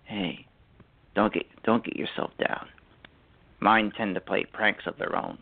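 Guy doing a melancholy middle aged dad, dry humor, halting and creaky, muffled radio play. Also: fairly low pitch, steady tone. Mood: flustered. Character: middle-aged father, gaunt, melancholy, dryly playful.

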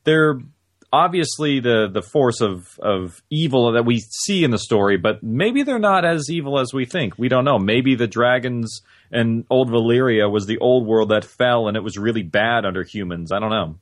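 A man's voice: mid-range voice, animated, moderate pace, no echo, studio quality. A man guesting on a podcast who thinks aloud in casual chat, with many fillers and small chuckles. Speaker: podcast guest, audio drama creator.

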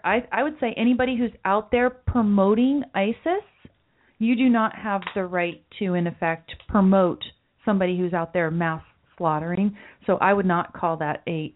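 A woman hosting a political talk podcast, with a light voice she calls mousy, earnest and often repeating words as she thinks aloud. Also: medium pitch. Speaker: podcast host. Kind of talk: political talk show discussion. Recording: phone-like lo-fi audio.

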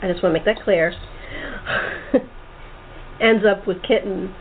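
A woman's voice: medium pitch, measured pace. A woman hosting a casual interview chat, talking in a chatty, jokey way. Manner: chatty, jokey, self-described sarcastic. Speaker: host and radio playwright. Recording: noisy, tinny phone-line audio.

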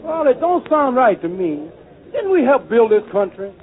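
An actor playing an earnest, hopeful, colloquial tavern regular in a 1940s radio drama. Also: male voice, extremely high pitch, sing-song, normal speed, slight background noise. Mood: earnest, protesting. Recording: tinny old radio with music behind.

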